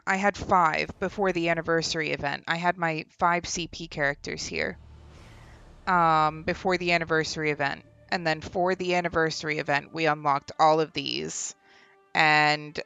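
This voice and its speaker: Young woman streamer, low and a bit croaky, rambling chat at her desk mic, faint game music behind. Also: fairly bright tone. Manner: chatty, laughing, trailing off mid-sentence. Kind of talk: casual gameplay stream chat. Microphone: desk microphone with headphones.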